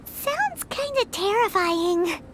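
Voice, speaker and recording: Squeaky, very high fairy child. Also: female voice, sing-song, takes it slowly, background noise, exaggerated voice. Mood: doubtful. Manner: indignant, refers to self in third person. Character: small floating fairy child companion, feisty, easily offended. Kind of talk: animated video game character acting. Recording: music behind the voice.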